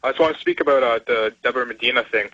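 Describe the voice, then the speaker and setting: A man's voice: medium pitch, racing, pushed and bright, no echo. A man from Canada calling a radio show over a dull phone line, chatting casually about his opinions. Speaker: phone-in caller. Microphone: telephone.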